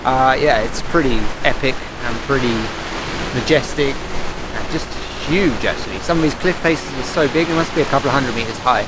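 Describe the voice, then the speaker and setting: A man's voice: medium pitch, moderate pace, very noisy. English guy, easygoing, kinda hesitant, chatting outside with waves behind. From England. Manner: laid-back, laughs a lot, hesitant with fillers. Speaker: vlogger co-host. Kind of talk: casual travel vlog chat. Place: outdoors on the coast with surf.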